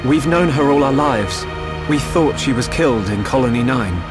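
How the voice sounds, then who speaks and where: A young man acting an earnest, brooding young hero in a video game, sincere and soft-spoken. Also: mid-range voice, lively intonation, normal speed, natural voice. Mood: troubled. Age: young adult. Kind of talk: dramatic video game dialogue. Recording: music and rain behind voice.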